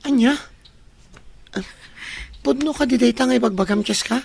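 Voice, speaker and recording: A woman's voice: fairly high pitch, normal speed, creaky, darkish tone, slight background noise. A woman playing a worried, responsible young boy, the older brother, in a radio drama. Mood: shocked, doubting.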